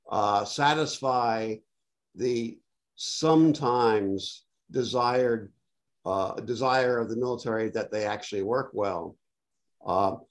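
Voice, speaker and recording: An older man speaking bluntly and dryly, with many filled pauses, on a video call from a home study. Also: medium pitch. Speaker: panelist. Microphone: laptop webcam on a video call.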